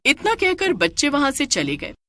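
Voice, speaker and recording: A woman's voice: sits high, huge pitch swings, moderate pace, sharp tone, no room sound. A woman narrating a scripted story in a dramatic storytelling style.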